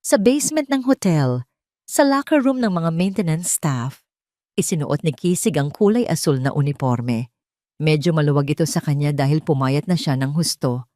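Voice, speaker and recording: Female storyteller, lowish voice, dry close recording. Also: animated, moderate pace, warm tone, no echo. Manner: dramatic storytelling, reading all parts. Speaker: narrator. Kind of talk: scripted audio drama narration.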